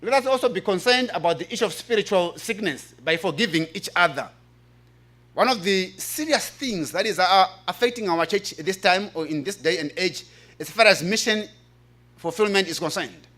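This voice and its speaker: A man with an African accent speaks in a high, forceful voice. He presents like a preacher at a conference, earnest and emphatic. He tends to repeat his key phrases to drive them home. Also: expressive, moderate pace. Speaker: presenter reading a paper at a church health forum.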